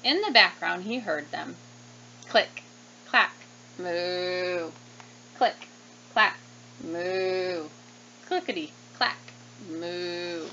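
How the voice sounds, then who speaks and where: A woman's voice: fairly low pitch, extremely slow, pauses often. A woman in her thirties reading a picture book to children like a teacher, expressively and with questioning asides. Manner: animated, questioning, child-directed. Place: small room indoors at desk. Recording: slightly dull webcam sound, faint noise.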